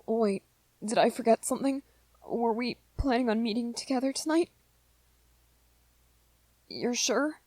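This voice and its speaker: A woman playing a shy, anxious young male vampire boyfriend in an ASMR roleplay, soft-spoken and hesitant, with apologetic stammers. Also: on the high side, measured pace, long pauses, no echo. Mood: anxious, tender. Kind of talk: ASMR roleplay, scripted one-sided dialogue to listener.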